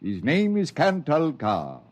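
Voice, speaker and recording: An actor playing a gentle old wanderer who speaks in a biblical, preacher-like cadence in a 1950s radio drama. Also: male voice, a little high, wildly swooping pitch, takes it slowly, exaggerated voice. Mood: solemn.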